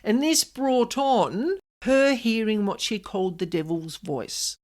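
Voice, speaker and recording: A woman's voice: fairly high pitch, animated, slow pace, darkish tone. A woman giving a conversational podcast monologue, speaking emphatically and directly to the listener as host. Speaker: podcast host and paranormal specialist.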